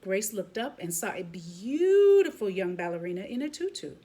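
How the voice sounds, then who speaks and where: A woman reading a children's picture book aloud as a teacher would, expressively, pausing to comment to young listeners. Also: mid-range voice, sing-song, warm tone.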